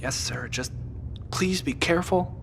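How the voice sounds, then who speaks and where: A man's voice: medium pitch, measured pace, gravelly, a bit bright, slight background noise. An actor playing a deferential, dutiful male soldier with a dry wit in a naturalistic audio drama. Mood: cautious.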